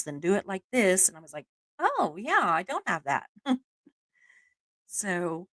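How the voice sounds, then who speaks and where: A woman's voice: lowish voice, a bit bright, no echo. A middle-aged woman with a Southern US accent, hosting a live stream in casual, self-deprecating chat, laughing often and using many fillers.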